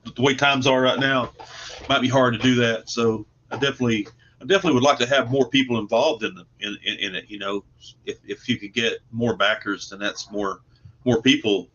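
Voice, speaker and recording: A man's voice: mid-range voice, fairly even intonation, brisk, fairly bright tone, slight background noise. A man chatting casually as an interview guest, modest and folksy, often repeating words as he goes. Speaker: guest comic book creator. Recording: dull lo-fi call audio.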